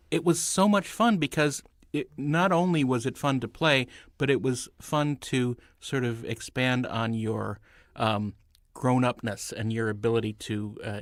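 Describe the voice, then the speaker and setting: A man's voice: mid-range voice, no echo, clean studio sound. A middle-aged man reminiscing casually as a panelist in a group chat. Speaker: panelist on a movie discussion show.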